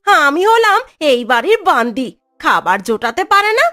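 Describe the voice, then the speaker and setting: Woman playing a very shrill, sharp-tongued wife. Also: very animated, normal speed, exaggerated voice. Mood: scolding. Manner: scolding, sarcastic complaining. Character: sharp-tongued, bitter wife of a poor fisherman. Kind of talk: Bengali moral-story cartoon acting. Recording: music under the voice.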